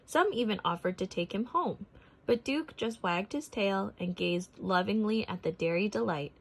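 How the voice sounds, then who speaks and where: A woman narrating a picture book as a read-aloud for children, expressive and deliberate in her phrasing. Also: medium pitch, low-quality recording.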